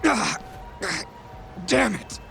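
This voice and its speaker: An aggressive video game gangster with a threatening snarl. His voice sits on the high side with plenty of gravel and lively swings in pitch. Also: extremely slow, faint music behind, heavily acted. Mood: frustrated. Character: aggressive, foul-mouthed yakuza thug man.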